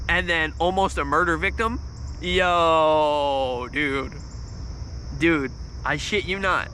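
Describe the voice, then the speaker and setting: A man in his thirties with a squeaky, nasal voice, telling a story in a loose, swearing livestream ramble. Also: takes it slowly, sharp tone, a little hiss or hum. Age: 30s. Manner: swears freely, restarts often, volume spikes. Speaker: vlogger on a livestream.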